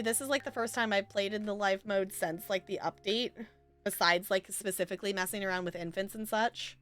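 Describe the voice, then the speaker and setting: A woman's voice: medium pitch, a bit bright. A woman streaming a life simulation game with casual, rambling commentary, chatting to viewers and to the game's characters.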